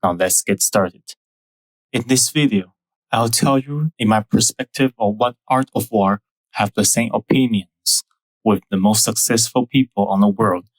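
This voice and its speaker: Young Taiwanese-accented male host, lowish voice, dry close mic, measured scripted history narration. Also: dry recording, low-quality recording. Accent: Taiwanese Mandarin. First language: Mandarin. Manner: careful, measured explaining with non-native phrasing.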